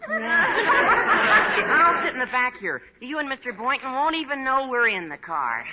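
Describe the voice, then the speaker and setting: High-voiced, gawky teenage boy. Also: wildly swooping pitch, measured pace, over a noisy crowd, full character voice. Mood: eager, breezy. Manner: breezy, oblivious, gee-whiz. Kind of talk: 1940s radio comedy acting. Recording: noisy, dull old radio recording.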